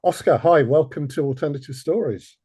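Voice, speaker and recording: A man's voice: fairly high pitch, fairly quick, dark tone, husky, no echo. A man hosting a podcast panel interview, introducing and questioning in a self-deprecating manner. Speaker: podcast host.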